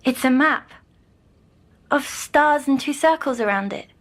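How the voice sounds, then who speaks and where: A troubled little girl in an audio drama, with a fairly high voice. She is timid and childlike, speaking very slowly and hesitantly, as someone plagued by nightmares would. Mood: tentative, wondering.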